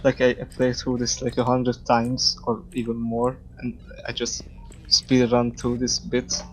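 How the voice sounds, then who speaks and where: Halting Hungarian-accented man, flat delivery. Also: mid-range voice, a little hiss or hum, rough recording. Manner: modest, halting, searches for words. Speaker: guest game developer. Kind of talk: casual chat.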